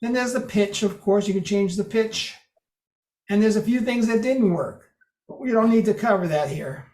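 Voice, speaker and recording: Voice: medium pitch, moderate pace, darkish tone. A presenter at a club meeting explaining a code demo in a loose, casual way, often restarting sentences. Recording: roomy echo.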